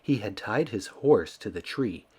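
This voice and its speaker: A man reading a children's story aloud in an expressive storytelling style. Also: on the low side, measured pace, slightly rough. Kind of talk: expressive scripted storybook narration.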